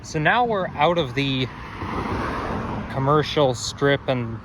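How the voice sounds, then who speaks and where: Man, a bit hoarse and growly, rambling walk-and-talk, faint traffic behind. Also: medium pitch, expressive, slow. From Toronto, Canada. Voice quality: strong, slightly growly. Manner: rambling, self-correcting, musing aloud. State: slightly hoarse, frog in throat. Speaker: walking-tour vlogger. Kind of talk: casual walking narration. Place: outdoors on city streets. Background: faint passing traffic.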